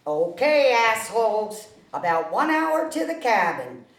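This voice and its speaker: A woman reads a bossy, foul-mouthed leader of a fishing trip in a comedy script. The voice is high and takes its time, with big swings in emphasis as orders are handed out. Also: big pitch swings, a little slow. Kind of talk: screenplay table read. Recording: roomy group read, echoing.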